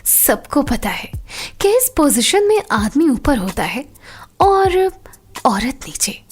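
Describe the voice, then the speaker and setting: A woman's voice: sits high, very animated, heavily acted. A woman playing a seductive, patient wife who teaches in a coaxing way, in a Hindi audio drama. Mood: seductive, instructive. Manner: slow, breathy, coaxing delivery. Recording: music behind the voice.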